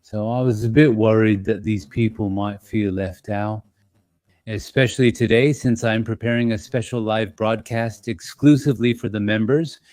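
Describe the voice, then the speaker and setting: A man hosting an investing channel, talking in a gentle, reassuring and slightly apologetic way to his members, with small fillers as he thinks aloud. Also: lowish voice, fairly even intonation, moderate pace, no echo, clean studio sound. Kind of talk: casual live-stream talk to members.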